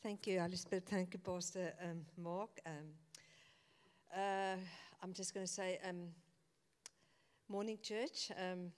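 A woman's voice: lowish voice, level tone, slow, pauses often. Nervous woman with church echo. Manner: nervous, many fillers, light laughs. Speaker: home group leader. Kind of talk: church announcement. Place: church hall. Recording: long church hall reverb.

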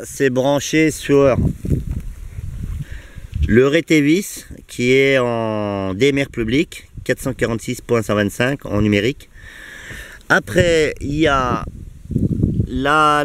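A middle-aged man talks through a hands-on demonstration in an informal way. His delivery is slow and halting, with frequent pauses, at an ordinary male pitch. There is faint noise behind him. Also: fairly bright tone. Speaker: amateur radio hobbyist filming a vlog. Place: outdoors by a field and inside a parked car.

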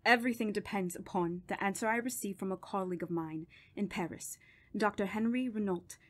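An actress playing a grave, commanding woman doctor who studies evil spirits in a radio horror drama, speaking solemnly and deliberately. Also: mid-range voice, lightly acted. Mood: grave, explanatory.

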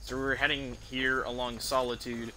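Bright, forward-voiced man rambling through gameplay. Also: mid-range voice, little pitch movement, slight background noise, low-quality recording. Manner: rambling, halting recap. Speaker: game streamer. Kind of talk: casual gameplay commentary. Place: indoor room at home, streaming setup. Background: game music.

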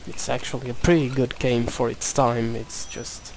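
A man's voice: medium pitch, flat delivery, normal speed, creaky, slight background noise, low-quality recording. A young man from Portugal with a Portuguese accent, casually commenting on a game while he plays, mumbling to himself. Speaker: gameplay commentator.

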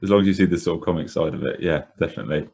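A man with a very low, gravelly voice and a quick delivery, hosting a podcast interview. He asks affable questions that ramble a little, padded with fillers, and the voice has a husky edge. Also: fairly even intonation, a little mellow. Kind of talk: casual interview.

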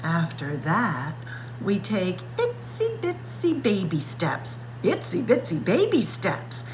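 A woman reading a picture book aloud for children at story time, expressive and stretching words to act them out. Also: medium pitch, takes it slowly, noisy background. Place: indoors, library room with bookshelves.

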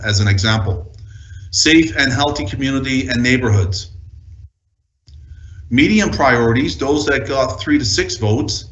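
A man's voice: mid-range voice, a bit bright. Man presenting formally, muffled and echoey. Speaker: senior town official presenting to council.

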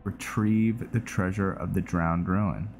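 Deep voiced guy doing a chill lets play, talks slow and kinda mutters, music behind him. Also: steady tone, darkish tone. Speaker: gamer, channel host. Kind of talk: casual video game playthrough commentary. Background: game music.

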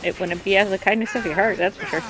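A woman's voice: medium pitch, rapid-fire, low-quality recording. A woman chatting casually as a guest on a game stream. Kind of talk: casual chat. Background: video game music and effects.

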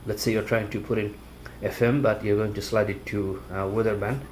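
A man giving a casual product review in his living room, talking in a halting, plainspoken way with many fillers, recorded on a camera set on a table. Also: on the low side, flat delivery, darkish tone, a little hiss or hum. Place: living room at home, indoors.

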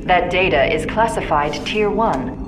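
An actress playing a cold, imperious senior scientist in a video game cutscene, speaking in clipped, commanding sentences. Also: female voice, fairly low pitch, animated, measured pace. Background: game score music.

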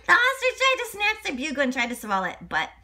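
A woman reading a story aloud for children, expressive and full of exclamations and asides to young listeners. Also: extremely high pitch, fast.

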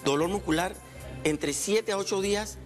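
A man's voice: high-pitched, moderate pace, soft background music. A middle-aged man, a doctor explaining things in plain terms on a studio talk show. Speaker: infectious disease doctor guest. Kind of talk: explanatory TV interview.

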